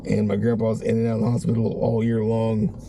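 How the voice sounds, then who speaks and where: A man with a raspy, strained voice, rambling casually through a vlog from a parked car. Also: on the low side, monotone, brisk, mellow, a little hiss or hum. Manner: rambling, conversational, many restarts. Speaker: vlogger.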